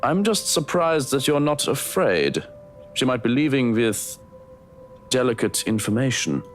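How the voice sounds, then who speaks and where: A man playing a gentleman's confidant in a visual novel, measured and questioning. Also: mid-range voice, lively intonation, close to an everyday voice. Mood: doubtful. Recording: music behind the voice.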